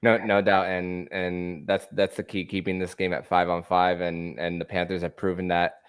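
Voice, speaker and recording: A man hosting a sports podcast, asking questions and thanking his guest, halting with many fillers and restarts. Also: low voice, flat delivery, smooth, no echo, clean studio sound. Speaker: podcast host. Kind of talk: casual sports podcast interview.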